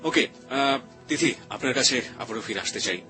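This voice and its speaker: A man with a Bangladeshi Bengali accent hosts a radio interview in a grave, deliberate, measured manner. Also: medium pitch, animated, pushed and bright. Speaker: radio show host. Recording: music under the voice. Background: background music.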